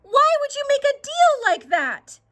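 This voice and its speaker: A woman performs a worried, exasperated storybook mother. Her voice is high and sharp, stern and emphatic, with big swings in pitch. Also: very animated, unhurried, full character voice. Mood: incredulous.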